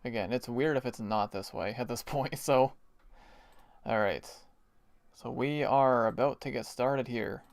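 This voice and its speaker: A man's voice: mid-range voice, measured pace, vocal fry, dry recording. A Canadian man hosting a live hockey watch party, chatting casually, explaining the game and chuckling often. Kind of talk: casual live-stream chat.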